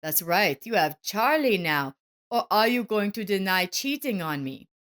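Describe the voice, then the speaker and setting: A loving, wounded soldier father, read by a woman speaks with a widely moving pitch. He is earnest and plain-spoken, a steady family man in an audio story. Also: a little slow. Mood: hurt, resolute.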